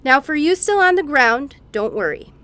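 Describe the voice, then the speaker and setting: A woman acts as a breezy, callous female scientist in a radio comedy. Her fairly high voice is cheerily theatrical, stretching words for drama. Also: big pitch swings, normal speed, pushed and bright, full character voice. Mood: gleefully reassuring.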